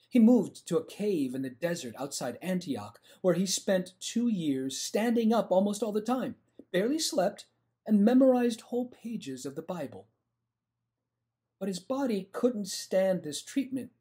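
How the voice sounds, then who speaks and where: Male storyteller, middle-aged. High, mellow voice, expressive read-aloud for children. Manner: emphatic, animated storytelling. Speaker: storybook reader. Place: indoors, home room with bookshelf.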